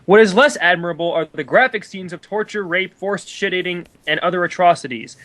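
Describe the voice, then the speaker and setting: A man's voice: high voice, brisk, a bit bright, no room sound. A man voicing an opinionated, squeamish male film critic in a declarative dramatic reading of a review. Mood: prim disapproval.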